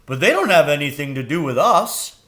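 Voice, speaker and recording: A man voicing a young male crow in a children's storybook, chatty, naive and impatient, with an excitable, stammering delivery. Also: on the high side, brisk. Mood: excited, gossipy. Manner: excitable, stammering, swooping upward. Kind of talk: children's storybook read aloud.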